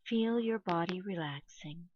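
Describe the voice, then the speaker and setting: A woman reading a scripted guided meditation in a measured, instructional way, pausing between phrases. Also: on the low side, a little mellow, lo-fi sound, slight background noise.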